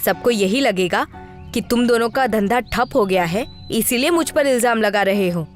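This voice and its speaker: A woman voicing a greedy, bossy rich landlady in an animated story, with an imperious, brazen manner. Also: fairly high pitch, normal speed, faint music behind, natural voice. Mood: defiant. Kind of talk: animated moral story acting.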